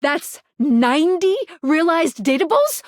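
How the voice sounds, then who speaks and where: A woman playing a bubbly, quirky, playful object come to life who guides the player in a video game, with perky, expressive delivery. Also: high voice, slow pace, no room sound, clean studio sound. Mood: excited. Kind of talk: video game character voice lines.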